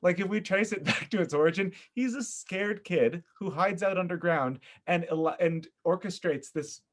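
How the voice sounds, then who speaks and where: A man chatting casually as a podcast guest, with an animated, theatrical way of talking. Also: sits high, normal speed. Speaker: former actor.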